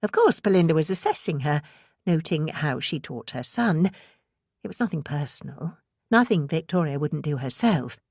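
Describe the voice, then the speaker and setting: A woman's voice: low voice, lively intonation, normal speed, darkish tone, dry recording, clean studio sound. A woman reading scripted suspense fiction as an audiobook narrator.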